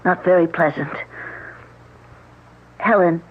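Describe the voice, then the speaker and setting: An anxious young widow. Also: female voice, on the low side, slow pace, slightly rough. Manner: hesitant and soft-spoken. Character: recently widowed woman, anxious and wary. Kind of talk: 1970s radio drama acting. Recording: narrow-band old radio broadcast.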